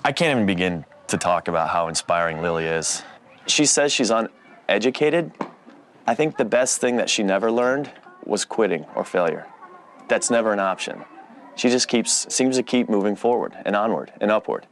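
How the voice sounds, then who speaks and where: A man's voice: fairly low pitch, expressive, moderate pace. A male travel show host asks questions with a curious, admiring tone. His voice moves expressively up and down, with a faint hiss beneath it.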